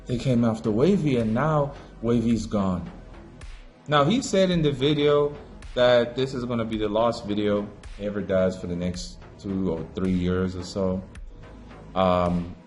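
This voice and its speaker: A man from Africa giving an impassioned monologue as an online commentator, preachy and emphatic, swinging between raised declarations and low muttering. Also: measured pace, darkish tone, faint music behind.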